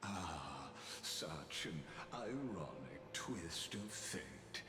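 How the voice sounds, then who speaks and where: An actor playing a grave, theatrical male villain in a video game, grandiose and measured as he muses on fate. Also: extremely low pitch, expressive, full character voice. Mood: grandly ominous. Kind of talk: dramatic game voice acting. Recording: long echoing hall reverb over music.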